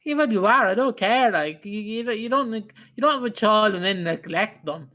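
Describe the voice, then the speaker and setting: A man's voice: high voice, lively intonation, normal speed, sharp tone. A man co-hosting a casual podcast, blunt and heated, swearing freely. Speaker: podcast co-host.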